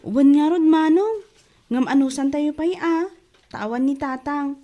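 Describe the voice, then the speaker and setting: A woman's voice: sits high, measured pace, warm tone, highs cut off. A woman playing a sweet, supportive teenage younger sister in a radio drama, girlish and encouraging. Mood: reassuring. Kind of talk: radio drama acting in Ilocano.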